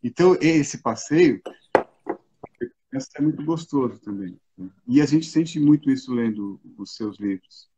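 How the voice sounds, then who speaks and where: A man's voice: mid-range voice, animated, measured pace, slight background noise. A man with a Brazilian Portuguese accent hosting the interview, affirming his guest with frequent "né?" and sometimes reciting short rhyming verses. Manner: warm affirming, ends phrases with "né?", recites rhymes. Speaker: interviewer and storyteller. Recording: slightly dull, lo-fi sound.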